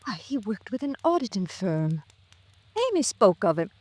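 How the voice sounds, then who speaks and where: An actress playing a distraught, fragile American widow in an old-time radio drama, with a halting, emotional delivery. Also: female voice, medium pitch, big pitch swings, darkish tone, no room sound. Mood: bewildered. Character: fragile, distraught grieving widow, self-blaming and defensive.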